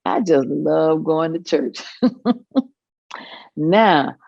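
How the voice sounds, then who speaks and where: A woman's voice: deep voice, animated, very slow, creaky, a little mellow, breathy edge, no room sound, muffled recording, full character voice. An actress performing an elderly church-going woman's recollections in a staged reading, folksy and full of small asides. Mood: fond. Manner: folksy storytelling with hummed asides and chuckles.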